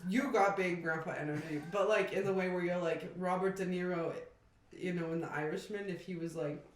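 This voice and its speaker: A woman's voice: lowish voice, steady tone, a little fast, a little hiss or hum. Rambling woman in her twenties, echoey room. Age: 20s. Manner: rambling, laughs often, many "like" fillers. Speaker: co-host. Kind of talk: casual chat. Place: shared house, indoors. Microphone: table microphone.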